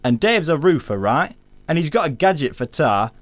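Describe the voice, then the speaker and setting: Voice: mid-range voice, very animated, moderate pace, natural voice. A performer playing a cheeky working-class English storyteller, chatty and colloquial, acting out neighbours' exchanges in a radio play. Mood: indignant, chatty. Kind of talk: scripted storytelling monologue in a stage-style radio play.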